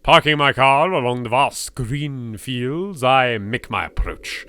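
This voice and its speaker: A man's voice: mid-range voice, animated, slow pace, bright, forceful tone, clear, resonant tone, pitched above the performer's own voice, exaggerated voice. Man voicing a jittery frightened woman in a horror game. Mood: brooding, uneasy. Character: frightened young woman exploring a haunted mansion, uneasy, jittery. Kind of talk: dramatic reading of horror visual novel text.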